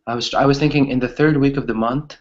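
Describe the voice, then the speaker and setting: Through dull, lo-fi audio, a man of medium pitch teaches English grammar. He explains with simple examples and encourages his students. He often ends a phrase with a brief checking question. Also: steady tone, fast. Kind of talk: online group grammar lesson, explaining with examples.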